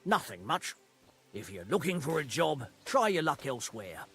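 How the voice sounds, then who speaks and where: A gruff rustic horse keeper from a medieval video game. His voice is husky and mid-pitched, and he speaks plainly and grudgingly, wary of whoever he deals with. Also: big pitch swings, moderate pace, a bit bright, soft background music, full character voice. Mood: curt, hostile. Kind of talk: video game dialogue acting.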